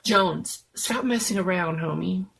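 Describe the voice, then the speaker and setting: A woman's voice: on the low side, measured pace, creaky, fairly bright tone, a little hiss or hum. A woman voicing a blunt, exasperated teenage boy in a dramatic reading of a horror story. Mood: annoyed. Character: gruff, swearing teenage boy, loyal and exasperated.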